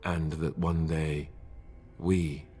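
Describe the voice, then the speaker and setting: An actor playing an earnest, solemn warrior in a fantasy game, speaking formally and reverently. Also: male voice, very deep voice, level tone, drawn-out words, dark tone, full character voice. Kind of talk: dramatic fantasy game acting.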